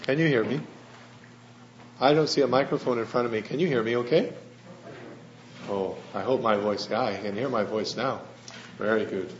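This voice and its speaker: A soft-spoken missionary preacher giving an earnest sermon, pausing often with "uh". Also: male voice, mid-range voice. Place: church hall. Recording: roomy lo-fi church recording with faint hum.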